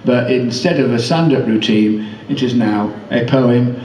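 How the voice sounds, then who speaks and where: A man's voice: mid-range voice, darkish tone, slight background noise. Man reciting comic verse, echoey room. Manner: measured storytelling in rhyme. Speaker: poet performing own work. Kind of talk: comic rhyming poem recital. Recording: echoey room, distant microphone.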